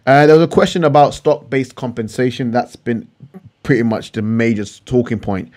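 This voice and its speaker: Male finance vlogger, mid-pitched, explains in an informal, emphatic way, restating points for stress. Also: fairly even intonation, moderate pace, darkish tone. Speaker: finance YouTuber. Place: indoor room at a computer.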